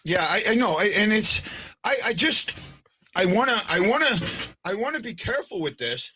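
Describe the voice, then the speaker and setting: A man's voice: high voice, sing-song, moderate pace, pushed and bright, breathy edge. A man hosting a sports podcast in casual chat, often hesitating, restarting sentences and checking in with "right?". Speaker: podcast host.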